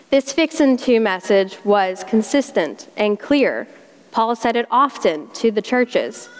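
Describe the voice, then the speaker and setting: Southern woman preaching in a reverberant church. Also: on the high side. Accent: South Carolina. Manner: folksy, conversational preaching with Southern expressions. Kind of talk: sermon. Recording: long hall reverb.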